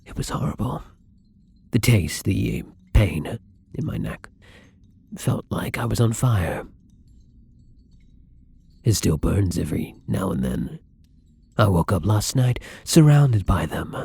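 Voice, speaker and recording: Apologetic, hungry young vampire for ASMR roleplay, played by a man: gravelly, slow-paced, soft and hesitant, slipping into whispers. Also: fairly low pitch, sing-song, full character voice. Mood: subdued, wistful. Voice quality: husky, breathy. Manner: soft, hesitant, breathy, often dropping to whispers. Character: newly turned young male vampire, apologetic, timid, hungry. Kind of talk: intimate ASMR roleplay acting.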